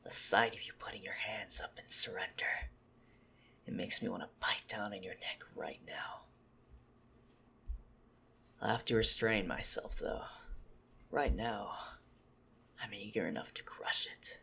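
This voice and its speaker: A man playing a possessive, menacing, smug vampire stalker in an ASMR roleplay, haughty and teasing in a deliberate murmur. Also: lowish voice, gravelly, sharp tone, breathy edge, heavily acted. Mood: possessive, gloating.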